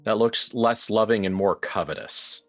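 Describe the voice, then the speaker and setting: A man improvising horror narration and casual chat in a tabletop roleplaying game, descriptive and halting as he sets a scene. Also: fairly low pitch, highs cut off. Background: faint music.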